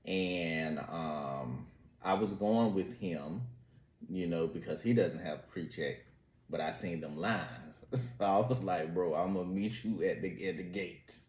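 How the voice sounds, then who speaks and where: Male co-host, deep voice, relaxed and dryly teasing; roomy echo. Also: a little slow. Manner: laid-back, dry teasing. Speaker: podcast co-host. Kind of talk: casual chat. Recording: echoey room.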